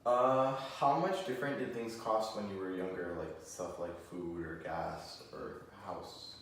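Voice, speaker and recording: A man's voice: low voice, steady tone, measured pace, gravelly. A young man working as an interviewer, casually putting simple questions one after another. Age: teenager or young adult. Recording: echoey room, distant mic, faint noise.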